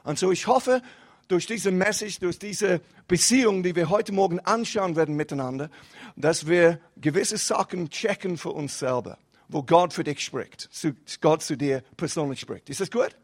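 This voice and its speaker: Young adult male guest pastor, non-native speaker with a foreign accent, high voice, casual preaching style. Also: normal speed. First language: not German. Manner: casual, mixes English words, rising and falling emphasis. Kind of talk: sermon.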